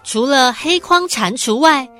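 A woman's voice: high-pitched, expressive, unhurried, over music. A woman narrating a radio drama in a scripted storybook style.

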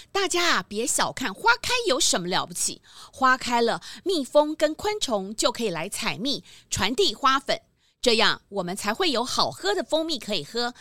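A woman narrating a children's story in an animated, explanatory, scripted style. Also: on the high side, no echo, studio quality. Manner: explanatory, expressive.

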